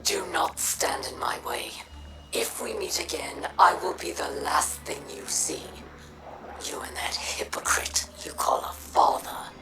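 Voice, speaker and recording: A woman playing a bitter, battle-worn alien pirate captain in a video game, breathy, rasping and deliberate. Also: low-pitched, lively intonation, in a whisper. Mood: menacing. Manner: slow, deliberate, formal. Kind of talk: video game character dialogue. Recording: echoey, with music behind.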